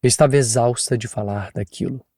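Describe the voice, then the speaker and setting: A man narrating an audiobook in an even, measured storytelling manner from a studio booth on a close mic. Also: mid-range voice, husky, dry recording. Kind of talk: scripted narration.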